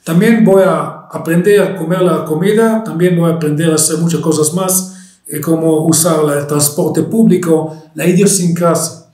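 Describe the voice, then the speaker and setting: Israeli guy lecturing, argentine-ish spanish accent, fairly high voice, lots of ums, echoey room. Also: fairly even intonation, normal speed, darkish tone. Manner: hesitant, many fillers and restarts. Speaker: historian lecturer.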